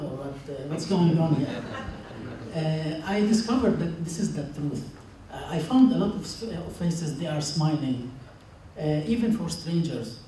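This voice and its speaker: A man in his thirties with a Lebanese accent, a native Arabic speaker, gives an earnest, halting personal speech to a congregation. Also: on the high side, warm tone. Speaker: church member giving a testimony. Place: large indoor church hall. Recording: very echoey church, distant mic, faint noise.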